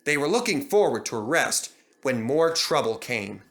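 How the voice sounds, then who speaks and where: A man reading a children's story aloud in dramatic, expressive scripted narration. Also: mid-range voice, moderate pace. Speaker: narrator and reader.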